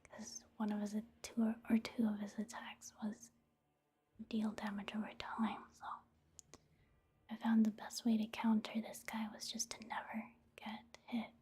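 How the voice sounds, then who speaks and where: A woman gives ASMR game commentary in a very breathy, whispery voice. She takes her time, murmuring gently and unhurriedly in an even, mellow tone. The delivery is soft and rambling throughout. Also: mid-range voice, measured pace. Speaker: ASMR gaming video host.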